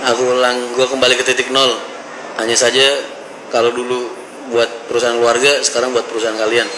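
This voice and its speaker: A man's voice: medium pitch, completely flat delivery, brisk. A man chatting informally and reading out comments, in a slangy, bantering way. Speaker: livestream or vlog host reading comments. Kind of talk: casual chat, reading out comments. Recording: roomy echo, loud background noise.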